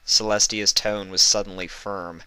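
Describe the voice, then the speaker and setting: A man reading a fan fiction story aloud as expressive scripted narration. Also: fairly low pitch, normal speed, clear, resonant tone, dry recording.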